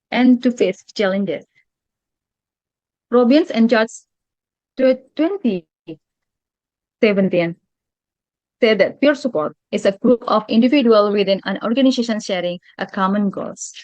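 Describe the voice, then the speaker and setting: A woman with an Indonesian accent reads a prepared academic presentation in a formal, careful manner, with some stumbles over long phrases. Her voice sits at an average pitch. The sound is dry and somewhat muffled, as in a lo-fi recording. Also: darkish tone, dry recording. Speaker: postgraduate research presenter. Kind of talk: scripted conference presentation, read aloud.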